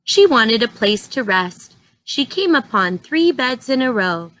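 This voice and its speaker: Lady reading a kids story out loud, slowish and really up and down, expressive storyteller. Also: fairly high pitch, rough recording. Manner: expressive, deliberate. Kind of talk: read-aloud narration of a children's story.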